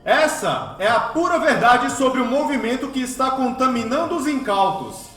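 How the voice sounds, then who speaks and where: A zealous, scornful priest of a radio play. His voice is very high and swings widely as he declaims with frenzied emphasis. The sound is roomy and echoing. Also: expressive, normal speed, fairly bright tone, faint music behind. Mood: frantic, denouncing. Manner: frenetic, oratorical, emphatic repetition. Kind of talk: radio drama acting.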